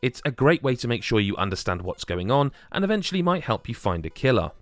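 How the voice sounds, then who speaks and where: A man narrates a scripted game review. His voice sits at an average male pitch. The recording is dry, with very little room sound. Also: big pitch swings, fast talker, dry recording, faint music behind.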